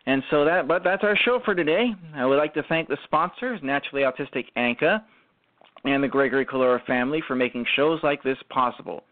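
A man hosting a radio show, speaking in a halting way that often restarts and repeats phrases as he gives commentary. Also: medium pitch, dry recording. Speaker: radio show host and writer-performer. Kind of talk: show commentary, semi-prepared talk. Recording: muffled lo-fi audio.

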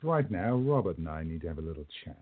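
Man playing older time-travel hero, husky, authoritative, wry, animated delivery, radio play. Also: sits low, fast talker, narrow, tinny audio, full character voice. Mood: calm, knowing.

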